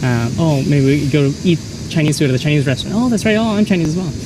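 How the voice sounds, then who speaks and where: A man's voice: on the high side, fast, distant mic. Man, philosophy student, hesitant and musing; slightly off-mic, street noise. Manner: musing, hesitant, thinks aloud. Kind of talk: street interview answers. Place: busy city street outdoors.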